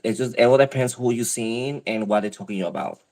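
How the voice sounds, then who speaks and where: A man's voice: medium pitch, brisk, darkish tone. A man with a Spanish accent talking candidly in a casual chat, rambling and often breaking off mid-sentence. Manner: rambling, self-interrupting, candid.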